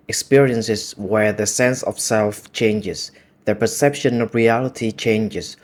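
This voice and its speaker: A man with a Vietnamese accent whose native language is Vietnamese, talking in a calm, measured, reflective monologue. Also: fairly low pitch. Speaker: video essay host.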